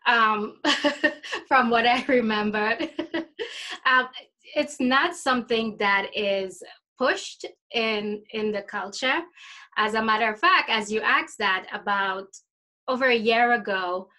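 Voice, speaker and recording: A woman in her forties with a Trinidadian accent, answering interview questions casually, often pausing to find her words. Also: on the high side, bright, forceful tone. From Trinidad and Tobago. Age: 40s. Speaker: interview guest, life coach.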